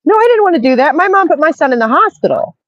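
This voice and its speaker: A woman's voice: high-pitched, sing-song, fast talker, a bit bright, no room sound, muffled recording. A middle-aged woman hosting a casual call, chatty and tired from being sick, reading chat messages aloud. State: tired, recovering from illness. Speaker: channel host.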